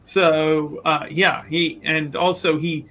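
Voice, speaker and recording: A man's voice: a little high, level tone, slow pace, bright, forceful tone, rough recording. A man casually describing what his character does as a player in a tabletop roleplaying game.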